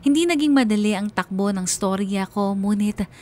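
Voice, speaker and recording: A woman's voice: mid-range voice, moderate pace, dark tone, natural voice. A woman playing a regretful, resilient mother who narrates her life story on radio in an earnest, confessional way. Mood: regretful, reflective. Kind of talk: dramatic first-person radio narration.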